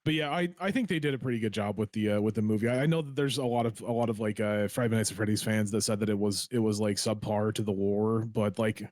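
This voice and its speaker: A man's voice: on the low side, quick pace, hardly any pauses, a little mellow, no room sound. A man chatting casually as a podcast panelist, wordy and emphatic with plenty of slang.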